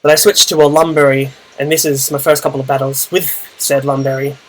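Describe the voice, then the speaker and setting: A young Australian man with a naturally loud voice, casually narrating his own Pokemon battle, thinking aloud with fillers. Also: on the high side, little pitch movement, a little fast, poor audio. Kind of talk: casual play-by-play gaming commentary.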